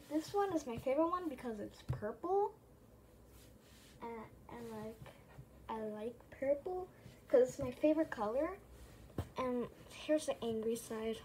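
A woman's voice: fairly high pitch, mellow, a little hiss or hum, low-quality recording. A young female vlogger chatting casually and hesitantly, often repeating her phrases, recorded in a room at home. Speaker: vlogger showing a plush toy collection. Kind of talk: casual rambling chat.

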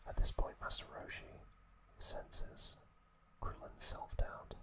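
A man narrating trivia in a hushed ASMR style, unhurried and full of pauses. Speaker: host.

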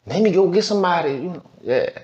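A man's voice: sits high, lively intonation, fast, a little mellow. A man hosting a reaction video, talking casually and rambling with lots of "you know what I'm saying.". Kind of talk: casual commentary. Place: home studio with green-screen backdrop. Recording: roomy, slightly dull sound.

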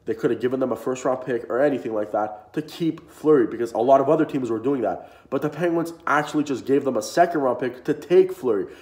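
A man's voice: medium pitch, fast talker, few pauses, a little mellow. A young man with a stuffy, sick-sounding voice giving casual hockey commentary to camera from his garage, rambling with many fillers. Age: young adult. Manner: rambling, lots of "uh" and "you know". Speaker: hockey vlogger. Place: home garage, indoors. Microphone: built-in camera mic, close front framing. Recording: echoey garage room.

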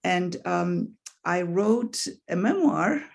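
A woman from Russia, speaking English as a second language with a Russian accent, talking as an author guest in a relaxed interview and often pausing with "um". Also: on the low side, creaky. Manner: thoughtful, pauses with frequent "um". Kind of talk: casual interview conversation.

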